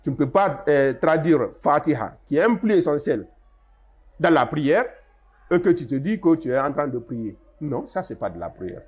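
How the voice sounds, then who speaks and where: An older man answers interview questions with a husky voice and a slightly high pitch. His speech rises and falls a lot as he stresses key points, and he often restarts his sentences while explaining. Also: very animated, normal speed, darkish tone. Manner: emphatic, explanatory, with rising stresses and frequent restarts. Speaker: guest, Arabic translator.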